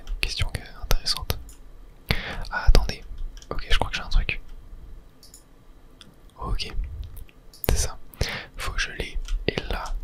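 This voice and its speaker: A gamer speaks entirely in a soft, breathy whisper. The delivery is a hushed ASMR let's play, murmuring short phrases as running commentary on the game. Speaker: gaming ASMR streamer.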